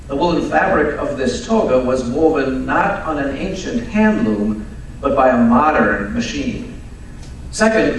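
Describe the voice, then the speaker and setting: A man playing a coolly superior detective in an old-time radio drama, speaking in a measured, didactic and pedantic way. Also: medium pitch. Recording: echoey live stage, distant mic, faint noise.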